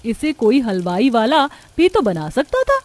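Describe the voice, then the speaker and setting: A woman's voice: on the high side, huge pitch swings, measured pace, a little mellow. A woman narrating a scripted cartoon family story.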